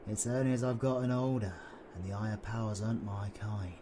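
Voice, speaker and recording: A British man playing a cynical, sardonic supervillain with dark powers in an audio roleplay, sweary, mocking and brooding. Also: on the low side, fairly quick, noisy background, close to an everyday voice. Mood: brooding, menacing.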